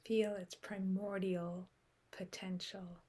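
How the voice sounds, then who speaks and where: A woman guiding a meditation in a soft, unhurried way with long pauses, her breathy voice often trailing off into a whisper. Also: medium pitch, flat delivery. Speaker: meditation guide. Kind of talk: guided meditation.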